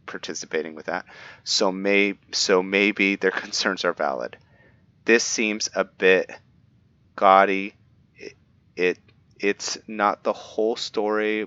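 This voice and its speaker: A man's voice: low voice, bright, forceful tone, clear, resonant tone, dry recording, muffled recording. A man playing a roleplaying game, reading in-game books aloud and stopping to comment, often stumbling over names and restarting words. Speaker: roleplaying gamer.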